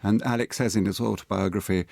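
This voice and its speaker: A man's voice: on the low side, steady tone, fast talker, creaky, darkish tone, dry recording. A man talking conversationally as an interview guest, in a discursive, slightly hesitant way. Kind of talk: conversational anecdote telling.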